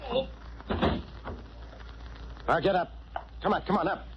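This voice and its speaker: An actor playing a gruff, impatient police inspector in a blustering 1940s radio style. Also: male voice, high voice, animated, takes it slowly. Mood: gruff, pressing. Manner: blustering, pressing, interrupting. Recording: muffled old radio recording, faint noise.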